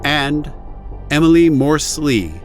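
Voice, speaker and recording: A man's voice: a little high, slow, faint music behind. A man reading scripted end credits as an announcer.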